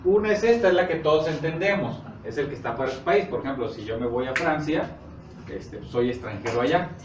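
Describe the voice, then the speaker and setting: A Mexican man gives an informal sermon, recorded from far off in an echoey room. He explains scripture conversationally and haltingly, often checking in with short questions, over clear background noise. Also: on the high side, animated, dull audio. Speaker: preacher. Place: echoey indoor congregation room. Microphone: distant microphone.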